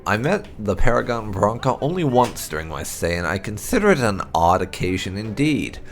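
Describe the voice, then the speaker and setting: A man narrating fantasy lore from a script in a measured storyteller's manner. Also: on the low side, big pitch swings, slight background noise. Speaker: gaming channel host reading game lore aloud.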